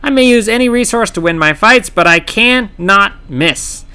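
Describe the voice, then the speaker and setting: A man narrating his own gameplay challenge in scripted voice-over, wry and sarcastic with playful dramatic pauses. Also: sits high, expressive, sharp tone, clean tone. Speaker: gaming channel host. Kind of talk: scripted comedic game commentary narration.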